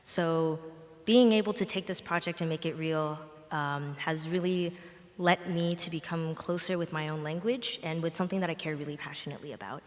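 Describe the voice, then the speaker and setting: A woman's voice: deep voice, steady tone, normal speed. A young adult woman, a native Mandarin speaker, giving a short, casual acceptance speech with fillers. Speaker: undergraduate award recipient. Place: library ceremony hall. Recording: long hall reverb.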